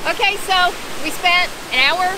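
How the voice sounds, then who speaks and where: A middle-aged woman vlogging outdoors, chatting casually with dry, teasing remarks. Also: very high voice. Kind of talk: casual travel vlog. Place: outdoors by a waterfall. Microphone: camera held at arm's length. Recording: rushing waterfall noise behind. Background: waterfall.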